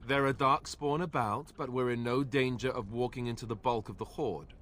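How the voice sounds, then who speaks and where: An actor playing a young male Grey Warden warrior, steady and dryly teasing. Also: mid-range voice, moderate pace, close to an everyday voice. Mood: wry calm. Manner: dry, teasing humor.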